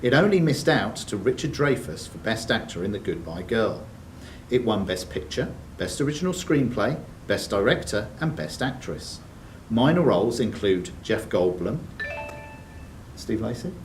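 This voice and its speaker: A man's voice: on the low side, sing-song, moderate pace. A man reading out quiz questions as quizmaster in a club room, with brief banter between scripted questions. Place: sports and social club room. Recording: distant room mic, faint background noise.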